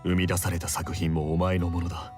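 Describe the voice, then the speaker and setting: A man playing a composed, committed attorney in a visual novel, speaking in a measured, serious way. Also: low-pitched, monotone, a little mellow, lightly acted. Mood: calm, firm. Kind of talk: Japanese visual novel voice acting. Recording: game music behind the voice.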